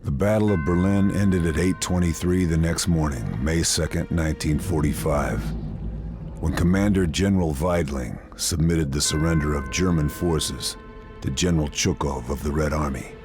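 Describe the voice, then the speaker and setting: Super deep hard-boiled ww2 sniper, terse and grim, narrating game cutscenes with music behind. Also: male voice, moderate pace. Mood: somber, reflective. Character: weary, steely American WWII sniper recounting his mission. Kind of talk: scripted cinematic game narration.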